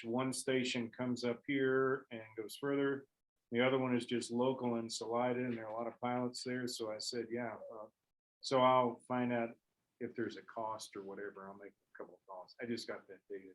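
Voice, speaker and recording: A man talking informally during a local board meeting, relaxed and loosely worded, often trailing off. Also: mid-range voice, moderate pace, poor audio. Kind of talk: casual meeting discussion. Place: meeting room.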